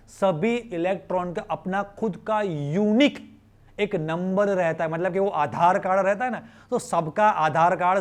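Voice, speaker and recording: A man with an Indian accent teaches students informally, conversationally explaining with questions and repeated phrases and mixing in English. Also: high voice. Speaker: chemistry teacher.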